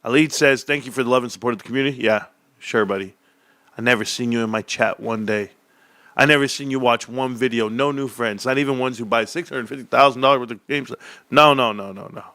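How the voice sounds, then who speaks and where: A man's voice: medium pitch, fairly quick, sharp tone, no room sound. A young man hosting a stock commentary stream, ranting in a combative, sarcastic way as he reads out messages and posts. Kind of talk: heated casual rant.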